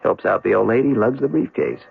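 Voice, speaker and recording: An actor playing a nosy, streetwise young man in a radio drama, with a husky voice and a restless, pushy, slangy way of talking. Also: medium pitch, a little fast, heavily acted. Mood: nosy, sly. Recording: muffled radio drama recording.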